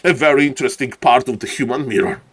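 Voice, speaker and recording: A man with a Polish accent doing live strategy game commentary and chatting to viewers, excitable and repeating phrases for emphasis. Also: mid-range voice, fast. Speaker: esports caster and live streamer.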